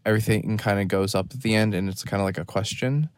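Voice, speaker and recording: A man giving a rambling, deadpan and self-mocking running commentary from a parked car, recorded on his phone. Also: lowish voice, fast talker, clear, resonant tone, dry recording, clean studio sound. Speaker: podcast host. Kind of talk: rambling off-the-cuff monologue. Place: parked car in a store parking lot.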